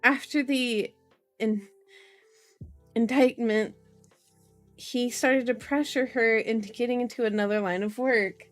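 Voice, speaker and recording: A woman's voice: a little high, fairly even intonation, a little slow, long pauses, faint music behind. A woman reading a novel aloud in an ASMR style, with informal, giggly asides, as a streamer. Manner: giggly, self-interrupting, informal.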